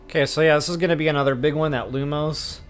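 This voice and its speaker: A man's voice: on the high side, steady tone, quick pace. A man giving casual live gameplay commentary as a walkthrough host, explaining things in a chatty, informal way. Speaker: game streamer and walkthrough host. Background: game music.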